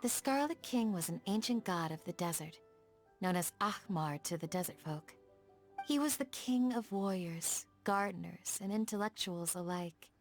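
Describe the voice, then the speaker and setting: A woman voicing a gentle, dutiful young desert village guardian in a video game, speaking in a soft, measured, courteous way. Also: mid-range voice, expressive, soft background music, close to an everyday voice. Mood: solemn storytelling. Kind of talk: scripted game dialogue, storytelling.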